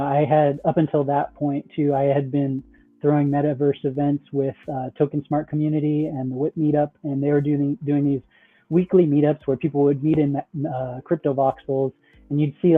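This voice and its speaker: A man's voice: a little high, flat delivery, moderate pace. A man talking as a podcast guest in casual conversation, rambling with lots of fillers and tag questions. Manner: rambling, many "like", "you know" and "right?". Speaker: guest, metaverse project founder. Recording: tinny phone-like call audio.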